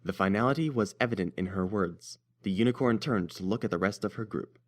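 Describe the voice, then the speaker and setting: A man's voice: fairly low pitch, brisk. A man reading scripted story narration as the narrator.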